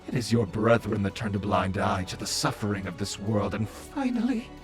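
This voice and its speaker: A mad zealot of a fantasy audio roleplay, serving a moon goddess with preacherly grandeur. The voice sits fairly high and sweeps dramatically up and down. Each phrase is drawn out slowly, like a villain's proclamation. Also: male voice.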